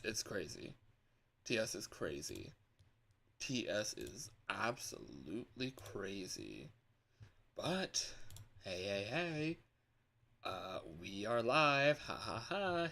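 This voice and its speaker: Animated low-voiced man livestreaming. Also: gravelly, a little hiss or hum. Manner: excitable, scattered, playful exclamations. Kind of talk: casual livestream chat to viewers. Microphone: external microphone.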